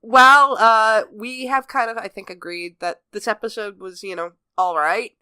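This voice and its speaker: A woman's voice: fairly high pitch, steady tone, moderate pace, bright, forceful tone, smooth, no echo. A woman co-hosting a podcast in a casual, conversational style. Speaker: podcast co-host. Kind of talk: casual chat.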